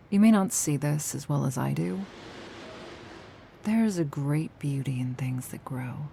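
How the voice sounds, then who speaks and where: A woman playing an ageless vampire gardener in a slow, intimate ASMR roleplay, measured and solemn with a deadpan edge and a soft, sometimes breathy voice. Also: low-pitched, a little hiss or hum, exaggerated voice. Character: ageless vampire woman gardener, sardonic yet tender, protective.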